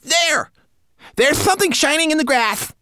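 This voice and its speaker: A man's voice: high-pitched, expressive, moderate pace, a bit bright, no echo, studio quality, voice pushed up. A man voicing a tiny, spindly, nervous and sly mannequin with a fretful, furtive manner in a storybook reading. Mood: astonished.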